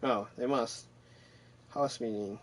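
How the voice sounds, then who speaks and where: A man with a mid-pitched voice talks casually through his gameplay. His delivery is dry and lightly sarcastic, like someone thinking aloud as he plays. There is noticeable background noise in the recording. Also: slow pace, rough recording. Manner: wry, mildly sarcastic. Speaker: gamer narrating own playthrough.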